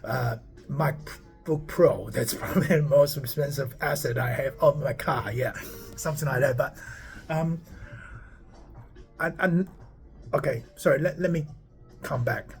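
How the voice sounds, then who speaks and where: A man's voice: mid-range voice, a little slow, gravelly, pauses often, soft background music. A man in his forties from Taiwan, a startup founder talking casually to camera on his phone, rambling and often stopping to ask "okay?". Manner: rambling, repeats words and asks "okay?" often.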